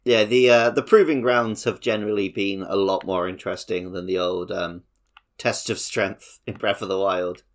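A man with a fairly low voice talks as a podcast co-host. His delivery is informal and conversational, like explaining a game to a friend. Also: steady tone, normal speed. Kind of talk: casual gaming podcast chat.

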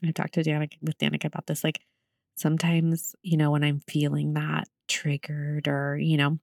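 Podcast host, female, low-pitched, close dry recording, casual and reflective, affirming conversational delivery. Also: completely flat delivery, moderate pace, gravelly, dry recording. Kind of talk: casual, reflective interview chat.

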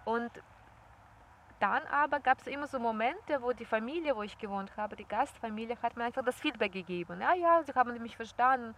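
A young woman tells stories in a lively, chatty way. Her voice sits a little high. A faint hum of outdoor noise runs underneath. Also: a little fast. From former Soviet Union. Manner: lively, chatty storytelling with laughs. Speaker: interviewee. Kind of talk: casual conversation. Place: outdoors, birds nearby.

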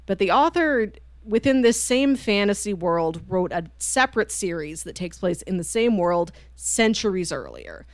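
Female podcast co-host, conversational and animated, medium pitch, very dry sound. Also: moderate pace, hardly any pauses, no room sound. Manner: animated storyteller, acting out quoted lines informally. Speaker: podcast co-host reviewing books. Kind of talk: casual conversational book discussion.

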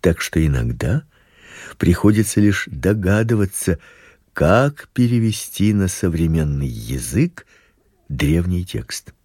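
A man giving a scripted, measured religious lesson narration, posing rhetorical questions as he reads. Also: deep voice, husky, dry recording, clean studio sound. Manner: slow, measured, expressive reading with rhetorical questions.

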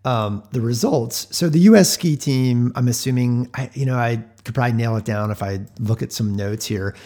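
A man with an average-pitched voice chats casually as a sports podcast co-host. He is analytical and conversational, working through his points aloud. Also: normal speed, mellow.

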